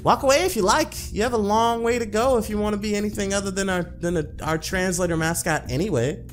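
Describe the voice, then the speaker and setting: A man's voice: high voice, fast, faint music behind, lightly acted. A man voicing a blunt, demanding young female pro gamer in a visual novel, with a bossy, no-nonsense manner.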